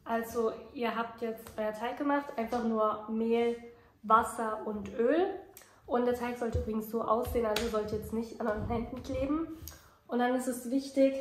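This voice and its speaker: A young woman in her twenties, a food vlogger chatting casually and relaxed at home. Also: a little high, normal speed. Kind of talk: casual vlog chat. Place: home rooms and kitchen, indoors. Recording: echoey room with background noise.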